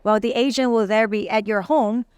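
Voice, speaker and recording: Woman ceo giving an interview, smooth clear voice, fairly high, dry close mic. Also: fairly even intonation, normal speed, darkish tone, dry recording. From Singapore. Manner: polished, promotional, uses many fillers. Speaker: company CEO. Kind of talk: business interview.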